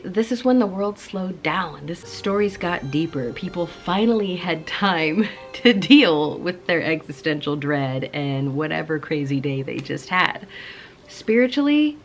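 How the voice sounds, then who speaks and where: Woman, low voice, a bit sick, playful chatty host, spooky whispers. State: voice slightly off from coming down sick. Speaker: channel host. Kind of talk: cozy casual chat to camera.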